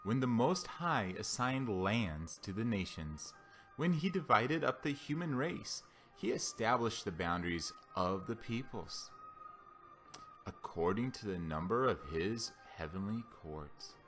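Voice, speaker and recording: Low-voiced man reading scripture over music. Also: big pitch swings, unhurried, a bit bright. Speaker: Bible reader. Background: background music.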